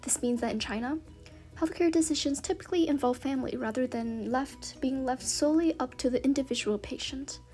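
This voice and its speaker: High-voiced young woman reading an essay. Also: darkish tone, soft background music. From Canada. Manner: measured, earnest reading. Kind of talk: read-aloud personal essay.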